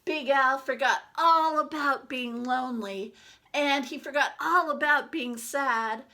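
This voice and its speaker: A woman with a high, bright voice reads a children's storybook aloud. She takes her time, leaning on words with deliberate, dramatic emphasis as a teacher does for young listeners. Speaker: teacher reading a picture book aloud.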